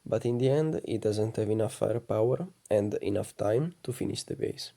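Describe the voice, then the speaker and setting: Low, gravelly man explaining gameplay. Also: moderate pace. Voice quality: low, soft voice. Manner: calm, methodical explaining. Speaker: gaming channel host. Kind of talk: gameplay commentary voice-over.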